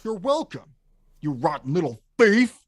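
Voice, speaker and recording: A woman playing a gruff, hot-tempered big lug of a man in an animated film table read. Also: lowish voice, a little slow, dry recording, clean studio sound. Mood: indignant. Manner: grumpy, blustering.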